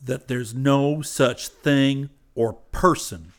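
A man's voice: medium pitch, drawn-out words, voice pushed up. A man voicing a stubborn, irritable country man in an audiobook, speaking curtly and emphatically. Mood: stubborn, irritated. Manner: blunt, ungrammatical, emphatic.

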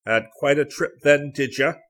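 A man voicing a gruff, brisk uncle in a storybook, curt and commanding. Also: on the high side, fairly bright tone, pitched above the performer's own voice, close to an everyday voice. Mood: gruffly sociable.

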